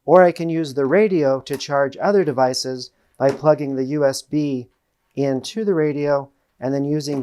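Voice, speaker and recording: A man's voice: on the high side, darkish tone. A middle-aged American man hosting a product demonstration to camera, explaining things in a plain-spoken way. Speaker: host. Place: small indoor room with panelled wall. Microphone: lapel mic.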